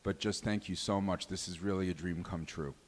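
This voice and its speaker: A man's voice: sits low, fairly even intonation, a little fast. A man hosting and introducing performers at a live stage show. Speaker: host and performer introducing the cast. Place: live venue stage with audience.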